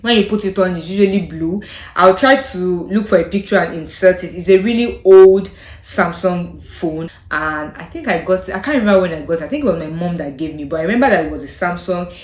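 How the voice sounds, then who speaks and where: A young woman with a Nigerian accent chats to camera in a slightly low voice. She talks thoughtfully and hesitantly, her sentences often fading out softly. The room around her has a noticeable echo. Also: brisk. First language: Yoruba. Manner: thoughtful, hesitant, trailing off softly with frequent laughs. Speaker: vlogger. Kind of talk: casual chat to camera. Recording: echoey room.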